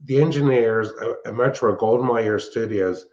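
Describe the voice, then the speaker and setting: A man's voice: mid-range voice, normal speed, a little mellow. An older man chatting casually and technically, explaining things in a rambling way as a show host and hobbyist. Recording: dull, band-limited sound.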